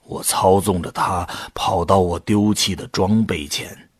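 A man playing a brooding volunteer who narrates his own story in a measured, solemn audio drama style. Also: low voice, steady tone, husky, no room sound, clean studio sound. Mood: resolute. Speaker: narrator and lead actor. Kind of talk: scripted first-person drama narration. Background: music.

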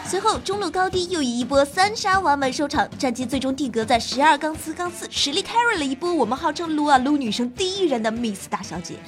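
A woman's voice: sits high, lively intonation, fast talker, few pauses. A woman host reading scripted gaming narration in a playful, dramatic storytelling manner. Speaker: game show host and streamer. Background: background music.

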